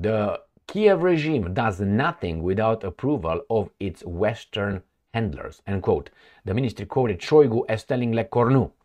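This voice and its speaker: A man's voice: on the low side, darkish tone. A man giving casual, sarcastic commentary on news he reads aloud, breaking into asides and mock dialogue.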